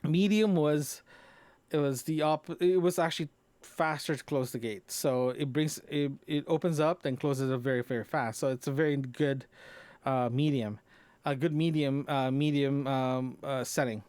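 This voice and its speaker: Guy doing a casual solo audio diary, average pitch, kinda halting n rambly, repeats himself, close dry mic. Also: steady tone, a little mellow, no room sound. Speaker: podcaster recording a personal audio log. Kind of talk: casual unscripted solo chat. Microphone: close microphone into a recorder, compressed.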